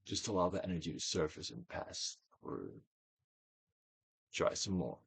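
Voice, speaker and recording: A man giving a dramatic, Shakespearean-style reading of a self-help book, deliberate and theatrical, with drawn-out emphasis. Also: very animated, creaky, slightly rough, no echo. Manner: theatrical Shakespearean delivery, swinging from hushed to booming. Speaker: audiobook reader.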